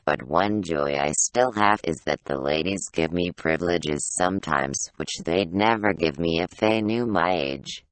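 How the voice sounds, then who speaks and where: A man acts out an uncanny old man trapped in an infant's body. The voice sits low and dry, with no room around it. The delivery is old-fashioned, wistful storytelling, full of self-pity, as in a radio-style play. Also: a bit bright, no echo, low-quality recording. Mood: plaintive. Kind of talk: audio play monologue, storytelling.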